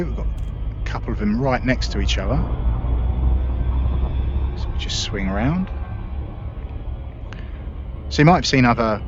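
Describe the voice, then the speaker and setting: Low-voiced man walking through gameplay. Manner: relaxed, step-by-step walkthrough with small restarts. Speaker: gaming video creator. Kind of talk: explaining gameplay while playing. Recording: dull lo-fi audio with game music behind. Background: game music.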